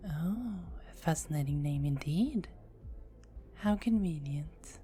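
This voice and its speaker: Woman doing a teasing vampire lady, low voice, slow and mellow, soft whispers, faint music behind. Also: expressive. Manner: soft-spoken, often whispering, playful teasing. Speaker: performer of own script. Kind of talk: ASMR roleplay.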